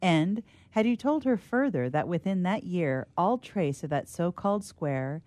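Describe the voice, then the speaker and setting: Deep, mellow woman storyteller. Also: lively intonation, measured pace, dry recording. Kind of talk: literary story read aloud.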